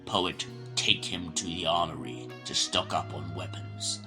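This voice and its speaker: An actor playing a stern, authoritative male president at war in an audio drama, speaking formally and gravely. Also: low-pitched, wildly swooping pitch, measured pace, over music, full character voice. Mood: firm.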